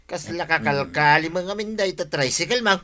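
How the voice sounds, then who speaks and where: A man's voice: a little high, moderate pace, sharp tone. A man acting in an Ilocano radio drama, playing an eager village neighbour. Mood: curious.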